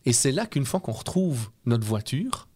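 A man's voice: mid-range voice, sing-song, normal speed, warm tone, husky. Earnest Belgian-accented middle-aged man. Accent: Belgian French. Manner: earnest, explanatory, persuasive. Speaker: guest speaker. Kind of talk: interview conversation.

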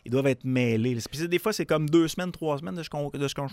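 A man in his forties with a Quebec French accent, co-hosting radio and recounting anecdotes in a relaxed, chatty way. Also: on the high side, fast talker, no room sound. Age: 40s. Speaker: radio host. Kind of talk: casual radio chat.

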